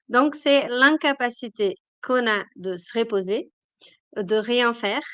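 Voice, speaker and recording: Woman with a cold, crisp dry sound. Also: a little high, fairly bright tone, no room sound. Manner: hesitant, many fillers. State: has a cold. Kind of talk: live-stream talk.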